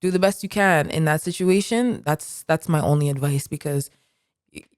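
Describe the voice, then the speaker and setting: Low-voiced woman talking reflectively. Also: normal speed, a little hiss or hum. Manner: reflective, animated, many "like" fillers. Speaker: podcast co-host. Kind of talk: casual conversation.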